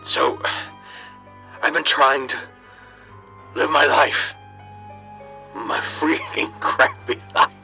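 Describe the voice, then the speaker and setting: Male actor as unhinged husband, panicky stammer, radio drama diary, gravelly edge, music underneath. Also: medium pitch, fairly even intonation, very slow, long pauses, sharp tone, dull audio, full character voice. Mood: bitter, rambling. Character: desperate, unhinged husband recording his diary on tape.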